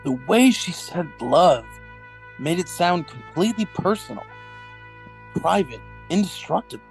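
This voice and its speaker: A man playing a nervous, earnest dystopian rebel in an audio drama, speaking urgently and haltingly. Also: high-pitched, very animated, vocal fry, faint music behind, exaggerated voice. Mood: earnest, hopeful.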